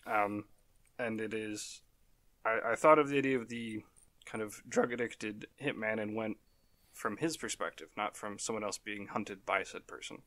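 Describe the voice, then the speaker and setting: A man's voice: fairly low pitch, steady tone, moderate pace, fairly bright tone, dry recording. A man with a sarcastic edge on a casual podcast, recorded close and dry. He swears freely and hedges his explanations with "um" and restarts. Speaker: podcast co-host, writer.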